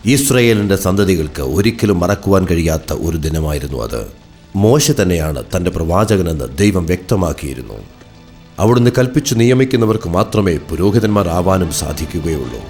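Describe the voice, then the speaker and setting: A man's voice: low voice, expressive, moderate pace, darkish tone, soft background music. A man narrating a dramatic scripted Bible story as its narrator.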